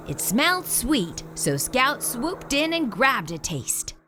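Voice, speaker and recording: A woman reading a children's picture book aloud as a storyteller, in an animated way with theatrical emphasis. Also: on the high side, slow pace, a little hiss or hum.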